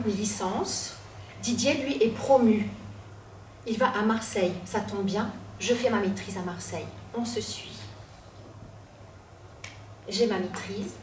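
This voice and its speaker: A young woman from Corsica, telling her own story on stage, with dramatic pauses and self-mocking asides. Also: medium pitch, a little hiss or hum. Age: 20s. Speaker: student storyteller. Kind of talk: personal storytelling talk. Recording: echoey room, from a distance.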